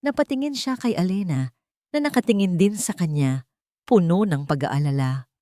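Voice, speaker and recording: A woman narrates a romantic drama from a script. Her voice is low for a woman, with a dramatic storytelling style. The recording is very dry, with no room sound. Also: lively intonation, normal speed, dark tone, dry recording.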